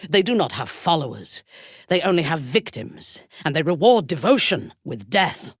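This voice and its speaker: A woman playing a commanding, ruthless zealot warrior in a video game, speaking with a low husky voice and a measured, imperious, menacing manner. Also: big pitch swings, no echo, clean studio sound, exaggerated voice. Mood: menacing, stern. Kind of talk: video game character voice lines.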